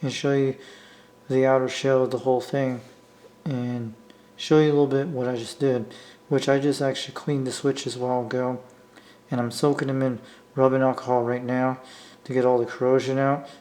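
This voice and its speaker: A man with an American accent talking casually off the cuff as a hobbyist video maker, rambling and halting as he repeats himself. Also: mid-range voice, flat delivery, a little mellow. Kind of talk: casual unscripted vlog talk. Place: indoor room, talking from behind the camera.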